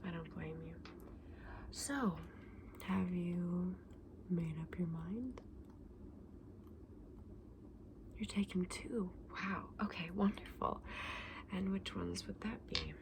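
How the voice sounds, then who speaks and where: A woman acts out a kindly fantasy shopkeeper in a whispered ASMR roleplay. Her voice is low and soft, with a crackly fry at the edges. She chats gently and without hurry to her customer, over a little background noise. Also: steady tone. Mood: warm, pleased. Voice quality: soft, breathy whisper mixed with low soft speech. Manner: gentle, unhurried, hesitant, endearing customer address. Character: kindly, chatty saleswoman in a dragon egg shop.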